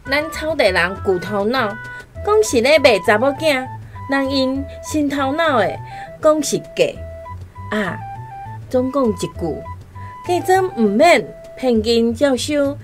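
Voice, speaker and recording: An actress with a Taiwanese accent playing a smooth-talking, boastful village matchmaker in a radio drama, coaxing and glib. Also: female voice, sits high, animated, slow. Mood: persuasive. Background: instrumental music.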